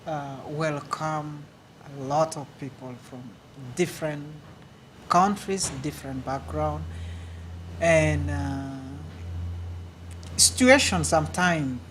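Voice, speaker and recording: A man from Uganda with a Ugandan accent, answering audience questions in a halting, earnest way at a live speaking event. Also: on the high side, fairly bright tone, a little hiss or hum. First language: a Ugandan language. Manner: earnest, halting, repeats words. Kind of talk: public speaking Q&A.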